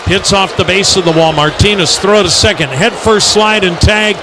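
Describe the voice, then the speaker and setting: American male play-by-play announcer, fairly high pitch, conversational then clipped, with clear background noise. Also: moderate pace, a crowd in the background. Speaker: baseball radio play-by-play announcer. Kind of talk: live commentary with conversational asides. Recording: clearly noisy ballpark broadcast.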